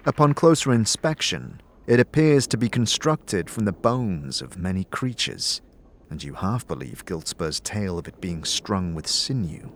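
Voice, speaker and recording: A man giving scripted, atmospheric fantasy narration as a descriptive storyteller. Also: lowish voice. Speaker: narrator and game master. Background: music.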